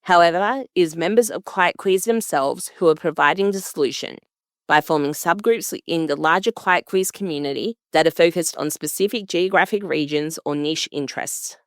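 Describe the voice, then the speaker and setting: A woman's voice: fairly low pitch, normal speed, dry recording, clean studio sound. A woman reading scripted narration as a radio story reporter.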